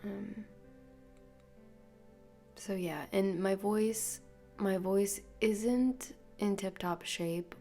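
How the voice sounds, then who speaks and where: A woman hosting a solo podcast, rambling softly and half-whispering, her voice nasal and congested from illness. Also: mid-range voice, drawn-out words, pauses often, faint music behind. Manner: soft, half-whispered, meandering. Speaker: podcast host. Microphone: podcast microphone.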